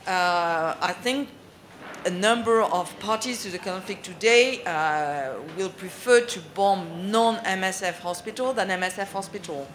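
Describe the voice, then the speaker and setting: A woman's voice: medium pitch, unhurried, a bit bright, a little hiss or hum. Earnest woman searching for words. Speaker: panelist for a medical aid group. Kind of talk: panel Q&A answer.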